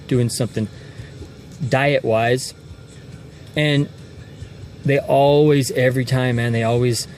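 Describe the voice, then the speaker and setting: A man's voice: medium pitch, a little mellow. A man in his forties talking casually to a phone camera from inside a car at night, rambling and restarting his sentences often. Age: 40s. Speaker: fitness vlogger.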